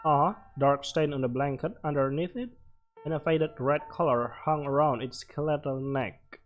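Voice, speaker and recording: A man doing casual game commentary, reading on-screen text aloud and reacting with short, halting interjections. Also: fairly high pitch, phone-quality sound. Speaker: let's player. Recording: music playing behind the voice. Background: game music.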